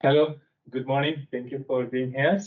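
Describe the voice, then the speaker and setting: Halting man giving a technical lecture. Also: mid-range voice, little pitch movement. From Bangladesh. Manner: halting, frequent fillers. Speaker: seminar speaker. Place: conference room. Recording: distant mic, dull sound.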